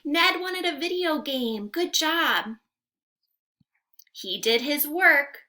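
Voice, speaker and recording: A woman's voice: high voice, lively intonation, takes it slowly, pushed and bright. A young woman reading aloud to small children as a teacher, in a sing-song, carefully enunciated way, putting questions to her listeners. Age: young adult. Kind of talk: interactive children's read-aloud lesson. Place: room at home.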